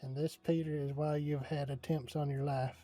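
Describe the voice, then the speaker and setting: A man chatting in character during a roleplay game, joking with quick comebacks. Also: on the high side, level tone, dark tone, soft background music. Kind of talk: casual roleplay chat in character.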